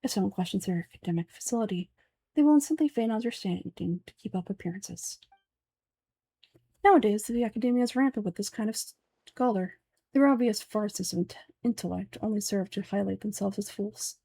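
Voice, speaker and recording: A woman voicing a composed, erudite and aloof scholar from a fantasy game, speaking in a formal, measured, deadpan way. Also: mid-range voice, creaky, husky. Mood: dry, disdainful.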